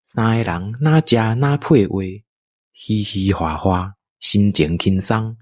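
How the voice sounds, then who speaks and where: Man, Taiwanese accent, audio drama narrator, husky edge, dry close sound, expressive delivery. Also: fairly low pitch, no room sound. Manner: unhurried, expressive storytelling delivery. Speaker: storyteller, narrator.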